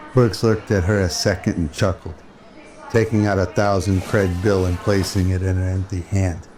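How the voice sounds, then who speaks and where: A man reading scripted narration for an audio drama. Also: sits low. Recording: music under the voice. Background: music.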